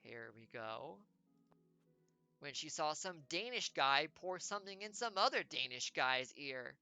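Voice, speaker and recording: A woman's voice: low-pitched, animated, measured pace, bright, forceful tone, clear, resonant tone, no room sound, faint music behind. A woman streamer reading a choose-your-own-adventure game aloud, with playful, wry jokey asides.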